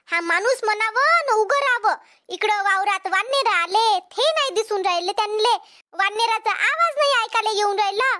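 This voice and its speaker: A woman voicing an excitable, exasperated village farm wife in a cartoon comedy, with a Vidarbha Marathi accent and an exclamatory, complaining way of talking. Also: very high voice, heavily acted.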